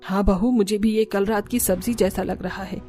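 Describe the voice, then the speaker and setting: A woman voicing an outspoken middle-aged mother-in-law in a cartoon story, blunt and fair, quick to scold. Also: mid-range voice, steady tone, mellow, soft background music, natural voice. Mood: pleased. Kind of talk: Hindi cartoon story dubbing.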